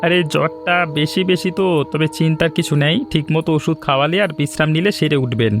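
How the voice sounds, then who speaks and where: A man voicing a well-meaning village man in an animated family story, talking conversationally. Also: a little high, quick pace, gravelly, faint music behind. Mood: reassuring. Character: village men: reassuring doctor and apologetic husband.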